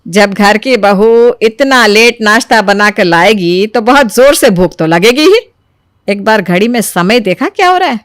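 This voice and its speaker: A woman voicing a domineering middle-aged mother-in-law who scolds and complains, in an animated family moral story. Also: mid-range voice, normal speed, no room sound, clean studio sound, close to an everyday voice. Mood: nagging.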